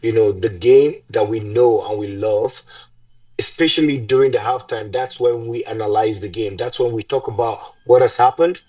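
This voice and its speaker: A man's voice: medium pitch, normal speed, dark tone, dry recording, distant mic, poor audio. A young man co-hosting a sports podcast, opinionated and rambling, laughing often. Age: young adult. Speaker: podcast co-host. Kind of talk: casual sports podcast chat. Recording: dry sound, mic set back.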